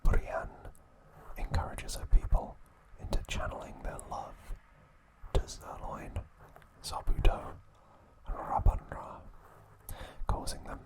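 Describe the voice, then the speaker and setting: A man whispering an ASMR review at home, gentle and relaxed, with a soft unvoiced whisper. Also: takes it slowly, low-quality recording. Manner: gentle, relaxed, with occasional fillers. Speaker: ASMR channel host. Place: indoors at home.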